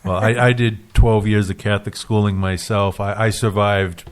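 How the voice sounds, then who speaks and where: A man's voice: lowish voice, little pitch movement, moderate pace. A man hosting a local radio talk show, joking with his guest and often restarting his sentences. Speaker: radio host. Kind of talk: casual radio interview.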